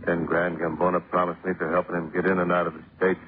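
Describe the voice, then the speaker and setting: A man's voice: low voice, level tone, fast talker, lightly acted. An actor in a 1950s radio detective drama playing a sullen, stubborn, tough henchman who talks in a terse, surly way. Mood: worn down. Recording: old radio recording, narrow band, faint noise.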